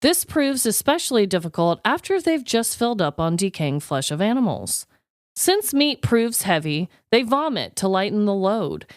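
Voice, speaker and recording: A woman co-hosting a podcast, chatting and reading stories aloud in an animated, exclaiming way. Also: mid-range voice, moderate pace, no echo, clean studio sound. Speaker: podcast co-host.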